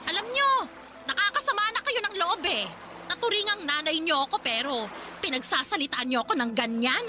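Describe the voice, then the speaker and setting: A woman's voice: very high-pitched, expressive, a little fast, very noisy. A woman playing a defensive, irresponsible middle-aged mother in a radio drama, scolding and self-pitying in a dramatic way. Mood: indignant, aggrieved. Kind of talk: Filipino radio drama acting.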